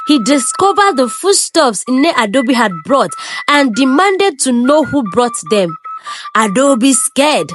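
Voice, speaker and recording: A woman tells a folk tale in a high voice that rises and falls freely, keeping a steady storytelling pace. Music plays clearly beneath her narration. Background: background music.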